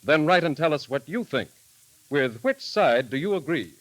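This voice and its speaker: A man narrating in the formal, measured, dramatic style of a 1930s radio announcer. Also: a little high, expressive, a bit bright, no echo, poor audio. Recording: vintage 1938 radio broadcast recording.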